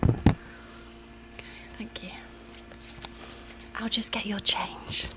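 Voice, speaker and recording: A woman doing an ASMR roleplay as a reassuring, attentive air hostess, speaking softly and soothingly, sometimes in a whisper. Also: mid-range voice, fairly even intonation, slow, low-quality recording. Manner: soft-spoken, soothing, partly whispered.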